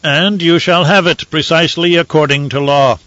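A man's voice: fairly high pitch, fairly even intonation, pushed and bright, no echo, highs cut off, pitched above the performer's own voice. A man doing a haughty, commanding emperor. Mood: imperious. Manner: stern, haughty, measured. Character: imperious male emperor, cold and commanding.

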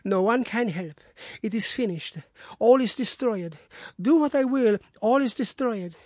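A man's voice: expressive, unhurried, darkish tone, dry recording, clean studio sound, full character voice. A man voicing a distraught young wife. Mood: despairing. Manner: pleading, emotional, high-pitched.

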